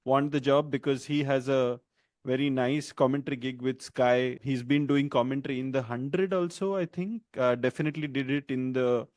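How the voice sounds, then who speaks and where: A man's voice: medium pitch, steady tone, normal speed, a little mellow, no echo. A man co-hosting a podcast in casual chat, talking loosely and laughing often between points. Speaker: podcast co-host.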